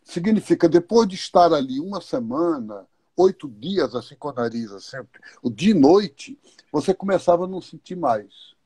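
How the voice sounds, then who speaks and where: A man's voice: high voice, animated, gravelly, warm tone, husky, dry recording. A man speaking as a guest in a live online talk, explaining his views thoughtfully and posing questions as he goes. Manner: unhurried, thoughtful, uses questions and examples.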